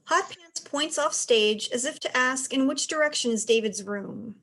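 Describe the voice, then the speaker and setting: A woman's voice: sits high, moderate pace, fairly bright tone. A woman narrator reading stage directions aloud as scripted narration.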